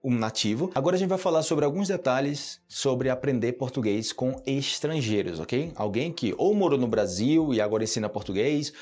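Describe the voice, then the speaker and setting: A man's voice: medium pitch, expressive, normal speed, hardly any pauses, darkish tone. Chatty Brazilian man teaching Portuguese. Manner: chatty, checks in with listeners often. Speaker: podcast host teaching Portuguese. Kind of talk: casual teaching chat. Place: indoors, hot room.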